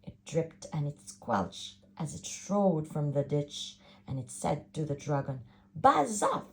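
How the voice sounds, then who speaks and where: A woman reading a rhyming picture book aloud with an expressive, rhythmic delivery. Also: low voice, unhurried, slight background noise. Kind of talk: storybook read-aloud.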